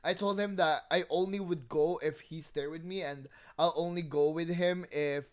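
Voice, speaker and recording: A podcast co-host telling a personal anecdote in casual, gossipy slang with quoted dialogue, giggly and excitable. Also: medium pitch, moderate pace, no echo, clean studio sound.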